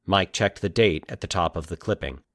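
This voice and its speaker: A man narrating a scripted, eerie fiction story as an expressive storyteller. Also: low voice, normal speed, no echo.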